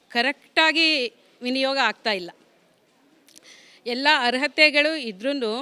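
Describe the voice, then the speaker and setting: A woman's voice: sits high, pushed and bright, smooth. A woman giving her opinion on public issues as a member of the public, repeating and rephrasing her points.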